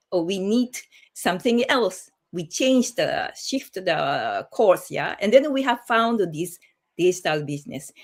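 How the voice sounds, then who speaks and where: A woman with a Japanese accent hosting a casual livestream, speaking haltingly as she searches for words in a non-native language. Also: lowish voice. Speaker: host of a group livestream.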